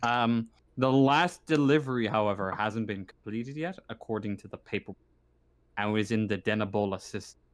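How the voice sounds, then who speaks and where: A man running a casual online tabletop game as game master, narrating and ruling in a halting, hesitant way. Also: fairly low pitch.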